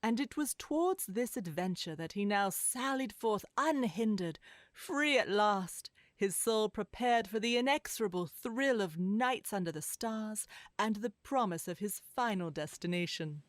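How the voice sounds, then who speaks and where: A woman narrator reading a scripted children's story in an expressive, measured storytelling manner. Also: mid-range voice, dry recording, studio quality. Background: music bed.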